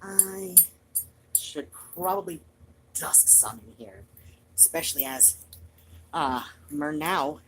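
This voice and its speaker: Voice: mid-range voice, expressive, slow, pauses often, fairly bright tone, a little hiss or hum, rough recording. A streamer chatting casually to viewers in a rambling, digressive way.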